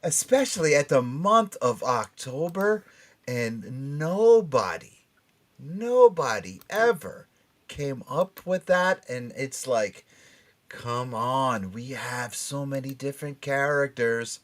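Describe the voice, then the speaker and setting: A man hosting a casual show excitably, with showy announcer flourishes and repeated phrases for emphasis. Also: medium pitch, takes it slowly. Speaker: podcast host.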